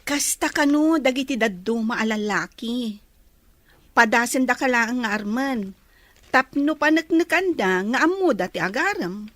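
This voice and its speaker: A woman's voice: fairly high pitch, animated, measured pace, fairly bright tone, dry recording. A woman playing a frank, nosy young best friend in an audio drama. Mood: concerned.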